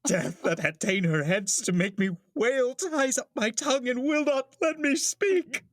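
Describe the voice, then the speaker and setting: A man's voice: very high voice, measured pace, a bit bright, no room sound, studio quality. A man playing a fussing older father and master of the house in a classical Shakespeare play, commanding and theatrical. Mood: stricken grief. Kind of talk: classical Shakespearean stage acting.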